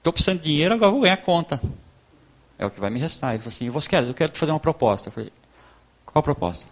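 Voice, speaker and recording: Southern Brazilian pastor telling a story. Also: male voice, fairly high pitch, sing-song. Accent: southern Brazilian, Rio Grande do Sul. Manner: conversational storyteller acting out dialogue, halting with repeated words. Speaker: pastor giving a talk. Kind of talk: informal sermon with personal testimony.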